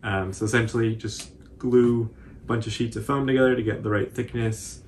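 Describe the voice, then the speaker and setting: A man's voice: medium pitch, fairly even intonation, brisk, slight background noise. A young man explaining casually as a tutorial co-host, in loose, hesitant, self-deprecating phrasing. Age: young adult. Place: small furnished room indoors, on a couch.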